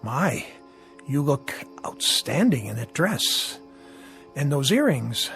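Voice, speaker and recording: An actor playing a polite, flattering gentleman caller with a formal, hesitant manner in a vintage television drama. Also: mid-range voice, slow pace, soft background music, exaggerated voice. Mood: courteous, admiring. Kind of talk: 1960s television drama acting.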